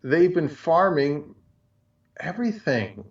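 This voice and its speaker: Muffled, higher-pitched man rambling in an echoey room. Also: animated, slow. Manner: rambling, colloquial, piles up examples. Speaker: show co-host. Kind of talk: casual chat. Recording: muffled, echoey, distant from mic.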